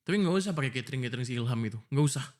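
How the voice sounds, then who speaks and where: A man's voice: medium pitch, lively intonation, very fast, darkish tone. A young man with an Indonesian accent, voicing a frustrated, pleading boyfriend in an ASMR roleplay.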